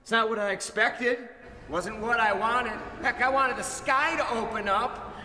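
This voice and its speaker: A man's voice: very high voice, measured pace, bright, forceful tone, slight background noise, full character voice. An actor playing a zealous, self-assured born-again American businessman and father in an audio drama, with a folksy, preacherly manner. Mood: fervent preaching. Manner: folksy, preacherly, rhetorical questions. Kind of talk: audio drama acting, preaching speech and confessional narration. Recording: music playing behind the voice.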